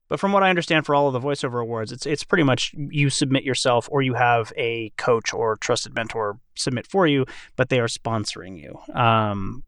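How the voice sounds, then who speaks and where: A man's voice: medium pitch, a bit bright, dry recording, studio quality. A man hosting a casual podcast panel, talking in a rambling way and qualifying himself as he goes. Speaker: podcast host and voice actor.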